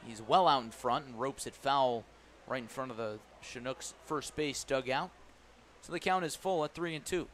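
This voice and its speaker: A man calling a baseball game live on the radio as the play-by-play announcer, animated on big plays and playful with his phrasing. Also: medium pitch, normal speed, slight background noise. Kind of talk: live radio sports commentary. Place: live at a ballpark broadcast position.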